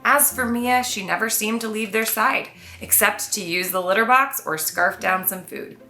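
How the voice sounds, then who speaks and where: A woman, a children's author, reading and presenting her own storybook in an expressive read-aloud style. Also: medium pitch, normal speed. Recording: roomy echo, faint music behind.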